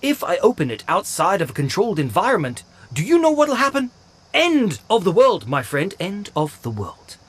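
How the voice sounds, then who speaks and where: Male actor as smug robot inventor, raised pitch, lively swings, excitable, boastful, slight noise. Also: normal speed, breathy edge, full character voice.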